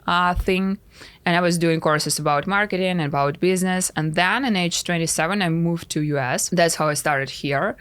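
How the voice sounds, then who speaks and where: A woman in her thirties from Russia with a Russian accent, talking frankly and haltingly about her life on a podcast. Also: sits low, fairly bright tone, clean tone, no room sound. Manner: frank, halting, many fillers. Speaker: podcast guest, life coach. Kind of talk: casual podcast interview.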